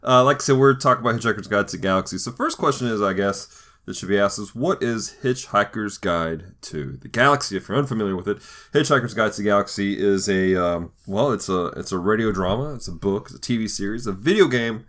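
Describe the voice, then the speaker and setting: A man's voice: lowish voice, fast talker, fairly bright tone. A man co-hosting a podcast in a casual, chatty style. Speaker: podcast co-host. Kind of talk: casual scripted-then-loose podcast chat.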